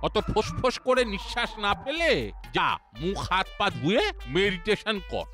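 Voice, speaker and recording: A man's voice: high-pitched, sing-song, moderate pace, a bit bright. An actor playing a strict, elderly grandfatherly disciplinarian in a cartoon, speaking in a formal, commanding manner. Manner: stern, commanding, formal wording. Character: strict old grandfatherly disciplinarian man drilling children. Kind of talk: cartoon voice acting. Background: background music.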